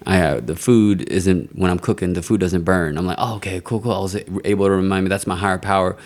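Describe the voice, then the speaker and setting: A young man chatting casually on a podcast and telling animated, profane stories in a booming voice. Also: fast. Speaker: podcast guest.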